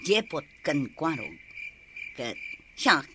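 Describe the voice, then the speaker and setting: Commanding, insistent muscle-gang boss played by an actor, Ilocano accent, comedy drama, clearly noisy recording. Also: mid-range voice, very animated, slow, pushed and bright, heavily acted. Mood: bossy, calm. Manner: bossy, insistent. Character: commanding boss of a muscular women's lair.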